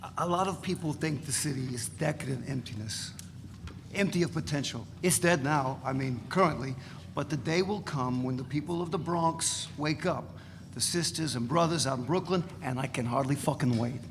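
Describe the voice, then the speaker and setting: A man's voice: fairly high pitch, moderate pace, background noise, natural voice. An actor reading the part of a brash male radical who rants profanely about revolution, recorded live in a reverberant hall. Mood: fervent. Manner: profane, fervent ranting. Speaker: reader in a staged reading.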